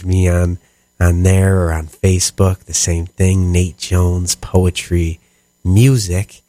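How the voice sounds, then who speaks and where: A man's voice: low-pitched, little pitch movement, slow, dark tone, no echo. A man hosting a jazz radio show, talking in a casual, rambling way with a nasal, stuffy voice from a cold. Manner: laid-back, rambling with many fillers. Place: radio studio.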